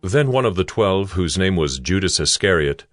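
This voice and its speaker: A male narrator reading scripture in a deliberate, expressive way. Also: low-pitched, no room sound, soft background music.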